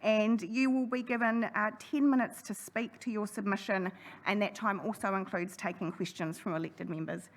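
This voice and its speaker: A woman chairing a council hearing, running through procedure in a brisk, friendly way. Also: medium pitch, steady tone. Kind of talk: formal meeting chairing. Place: council chamber.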